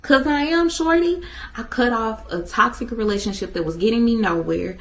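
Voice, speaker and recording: A woman in her twenties talking to viewers in a casual motivational vlog, emphatic and animated, addressing them directly. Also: a little high, moderate pace. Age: 20s. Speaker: vlogger.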